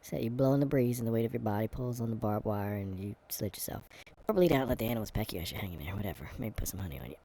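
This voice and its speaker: A man reading an online quiz aloud in a casual vlog, answering it with sarcastic, deadpan asides and dark comic rants. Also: lowish voice, very fast. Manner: reads quiz text aloud, stumbling and muttering. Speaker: vlogger. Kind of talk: casual commentary while taking an online quiz.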